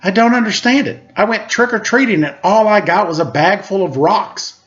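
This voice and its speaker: A man's voice: sits high, moderate pace, a bit bright, pitched above the performer's own voice. A man voicing a glum, resigned young boy who talks in a weary, downbeat way. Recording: slightly dull lo-fi recording.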